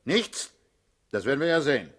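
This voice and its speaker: An actor playing a commanding, coolly mocking party chief in a German radio play, brusque and needling. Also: male voice, mid-range voice, sing-song, moderate pace, fairly bright tone. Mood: stern, probing.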